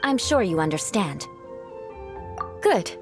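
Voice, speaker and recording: A woman's voice: on the low side, sing-song, slow pace. A woman voicing a proud young aristocratic swordswoman in an anime game, speaking formally and with haughty composure. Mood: lecturing, formal. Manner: haughty, formal, precise wording. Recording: game music behind the voice.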